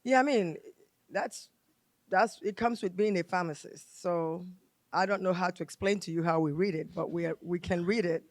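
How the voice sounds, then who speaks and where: A woman's voice: on the low side, normal speed, darkish tone, dry recording. Emphatic Nigerian woman, dry close sound. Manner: emphatic, explains patiently, repeats key phrases. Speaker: pharmacist guest. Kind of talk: talk show interview.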